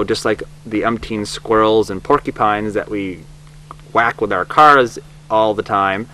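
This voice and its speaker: A man's voice: lowish voice, fairly bright tone. A man speaking as a director in an informal, reflective documentary interview. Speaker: audio drama director. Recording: faint background hum.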